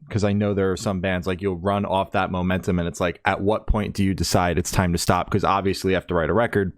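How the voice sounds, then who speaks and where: A man hosting a podcast, asking long, relaxed questions filled with "like" and "um". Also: deep voice, no room sound, clean studio sound. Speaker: podcast host. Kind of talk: casual interview questions.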